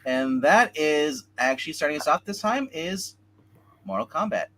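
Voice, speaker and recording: A man's voice: fairly high pitch, expressive, moderate pace, fairly bright tone. A man co-hosting a livestream panel in casual, joking chat. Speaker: co-host of a comics discussion show.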